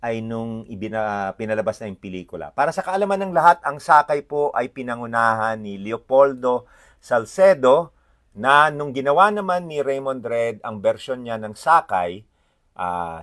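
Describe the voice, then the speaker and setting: A man with a Filipino accent informally presenting a book's illustrations, often pausing mid-sentence to find his words. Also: mid-range voice, fairly bright tone. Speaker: read-aloud presenter. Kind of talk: informal commentary showing book illustrations.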